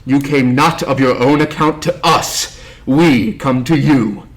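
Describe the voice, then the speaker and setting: An actor playing a menacing blind pirate villain in a theatrical drama, mock-polite, deliberate and threatening. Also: male voice, mid-range voice, natural voice. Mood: cold menace.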